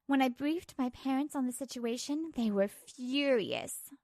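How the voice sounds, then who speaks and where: A woman's voice: sits high, measured pace, darkish tone, dry recording. A woman reading a scripted first-person story as narrator and lead character of a manga dub.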